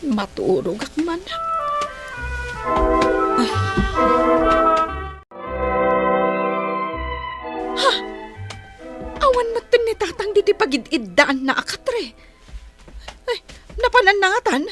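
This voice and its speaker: An actress playing a dutiful, apologetic young daughter in a radio drama, plaintive and respectful. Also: female voice, very high-pitched, big pitch swings, slow pace, long pauses, full character voice. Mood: sleepy, alarmed. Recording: radio drama with music underneath.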